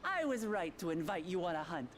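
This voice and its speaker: Brief: bold cat-folk warrior girl, hearty, lively and a bit high, noisy game audio. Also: fairly quick. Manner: hearty, boisterous. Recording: game audio with clear noise.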